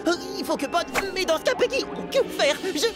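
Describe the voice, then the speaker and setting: A performer voicing an eager, naive and loyal young amoeba boy in a French cartoon, earnest and excitable. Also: very high voice, brisk. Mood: torn, panicked. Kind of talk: French cartoon dub acting. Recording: loud music behind the voice.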